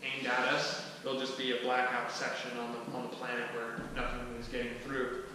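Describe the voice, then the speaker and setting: A man in his thirties gives an informal talk to a small group, casual and halting as he thinks aloud. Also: mid-range voice, monotone. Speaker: presenter at a skillshare. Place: large wooden-floored hall, indoors. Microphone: distant camera microphone. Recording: echoey hall from far off, faint noise.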